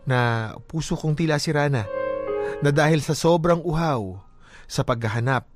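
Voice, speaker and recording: A man's voice: a little high, natural voice. Man voicing a regretful, lovelorn letter writer, music behind. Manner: subdued, confessional, slow dramatic phrasing. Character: lovelorn, regretful young person confessing in a letter. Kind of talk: dramatised letter narration on radio.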